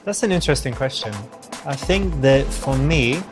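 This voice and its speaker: A man playing a smooth, attentive dater and coach, talking in a conversational, reassuring way. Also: medium pitch, expressive, normal speed, faint music behind, natural voice. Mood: earnest. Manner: conversational, reassuring, uses "you know" often. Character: smooth, attentive man chatting up a woman on a date. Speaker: seduction coach and dating game presenter. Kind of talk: scripted date dialogue and coaching commentary.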